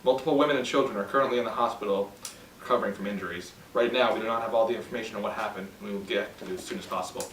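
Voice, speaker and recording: A man's voice: lowish voice, very fast. A young man reading a scripted amateur radio news report as the announcer. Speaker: news announcer in a student project. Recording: echoey, distant mic, faint noise.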